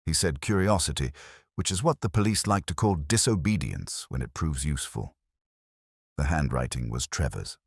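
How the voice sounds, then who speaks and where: A man playing a world-weary, erudite police inspector, narrating a mystery in brooding, literary, measured phrases. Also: rumbling low, animated, slightly rough, no echo, studio quality, full character voice. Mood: wry, somber. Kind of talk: scripted first-person mystery narration.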